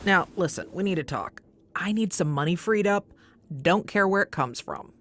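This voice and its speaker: A woman's voice: fairly low pitch, lively intonation, normal speed, a bit bright. A woman playing an exasperated, sarcastic chief executive in a comedy audio drama, with a dry, businesslike manner. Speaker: actor.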